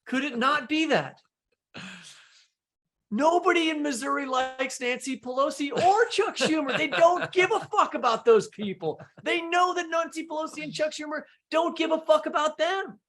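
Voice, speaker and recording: A man's voice: extremely high pitch, normal speed, fairly bright tone. A man co-hosting a podcast, speaking casually about politics in an emphatic, blunt way. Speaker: podcast co-host.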